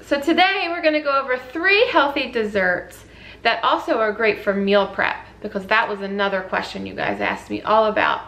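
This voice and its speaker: A woman's voice: medium pitch, big pitch swings, moderate pace, a bit bright. A woman, hosting a casual recipe vlog in a chatty, informal way. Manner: chatty, informal, addressing viewers as guys. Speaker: host of a healthy cooking channel. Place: home kitchen, indoors. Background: clear background music.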